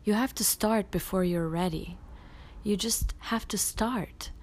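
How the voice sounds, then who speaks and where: Rambling woman podcaster, soft near-whispers. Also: mid-range voice, measured pace. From Bosnia. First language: Bosnian. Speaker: podcast host. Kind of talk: casual solo podcast monologue.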